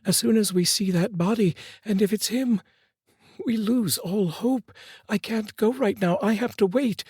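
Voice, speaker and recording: A male storyteller voicing a frantic, grieving mother in a high, pleading voice. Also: unhurried, darkish tone, breathy edge, no echo, clean studio sound.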